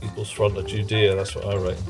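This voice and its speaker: Dry, low-voiced gamer. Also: male voice, little pitch movement, fast. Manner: dry, wry, chatty, muttering asides. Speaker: streamer. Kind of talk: live gameplay commentary chatting with viewers. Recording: game stream with background music.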